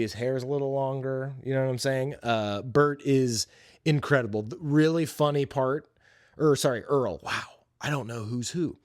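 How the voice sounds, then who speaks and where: A man's voice: mid-range voice, darkish tone, clean tone, dry recording. Man casually reviewing movies, very dry sound. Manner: informal, opinionated, enthusiastic slangy talk. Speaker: podcast host.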